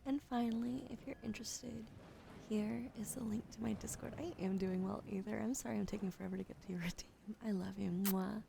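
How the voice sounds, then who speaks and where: A woman hosting an ASMR livestream, chatting casually to viewers in a gentle, soft-spoken way that often drops into breathy whispers, close on a sensitive microphone. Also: medium pitch, fairly even intonation, fairly quick, noisy background.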